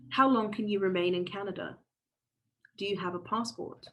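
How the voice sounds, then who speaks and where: A woman facilitating an online workshop over a video call, speaking thoughtfully with many fillers and restarts. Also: fairly low pitch.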